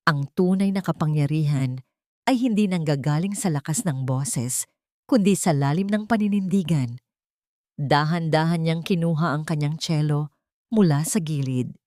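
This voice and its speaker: A woman narrating a dramatic audio story in a measured, scripted way. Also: deep voice, a little mellow, no room sound, clean studio sound.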